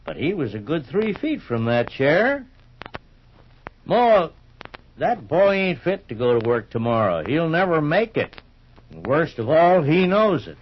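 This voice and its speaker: An actor playing a good-natured country old-timer and family friend in a 1950s radio soap, with homespun, colloquial storytelling. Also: male voice, a little high, very animated, a little slow, slight background noise. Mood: concerned. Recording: muffled 1950s radio recording.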